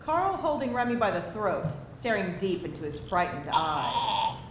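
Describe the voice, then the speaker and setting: A woman's voice: medium pitch, big pitch swings, normal speed. Echoey woman reading stage directions. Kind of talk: scripted narration at a live screenplay table read. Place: indoor live reading before an audience. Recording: very echoey live venue, faint noise.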